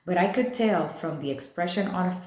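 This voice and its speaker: A woman's voice: fairly low pitch, moderate pace, a little mellow. A woman reading a children's novel aloud as a storybook narrator, recorded in an echoey room. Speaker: read-aloud reader.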